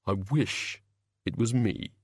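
A man voicing Bilious, a queasy, pitiful male god of hangovers who groans and mumbles haltingly. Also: on the low side, breathy edge, no room sound, clean studio sound, exaggerated voice.

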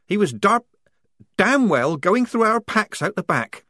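Man voicing a quick, tense young woman soldier. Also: high-pitched, big pitch swings, pushed and bright, no echo, clean studio sound, voice pushed up, heavily acted. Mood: urgent. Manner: hurried, insistent. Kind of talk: audiobook character voice.

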